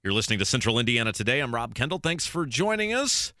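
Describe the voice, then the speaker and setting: A man's voice: medium pitch, very animated, fast talker, bright, forceful tone, dry recording, studio quality. A man hosting a radio show, reading links between segments in a broadcast style. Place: dry radio studio.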